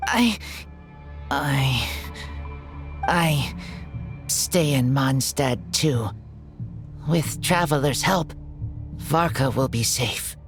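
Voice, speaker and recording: Wolf-raised young fighter for a game: low, extremely slow, halting simple speech, earnest, music behind. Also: female voice, lively intonation, heavily acted. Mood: resolute, halting. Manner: halting, simple broken phrases. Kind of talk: video game voice acting.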